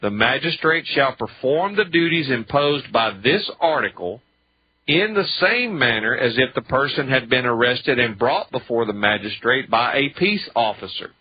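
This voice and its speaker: A man with a husky voice at a middling pitch talks through legal text as on a radio show. He reads passages out and follows them with pointed, rhetorical questions. The sound is dull and lo-fi. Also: animated, normal speed, no echo. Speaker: radio show speaker on law. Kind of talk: explanatory legal talk, reading statutes aloud with commentary.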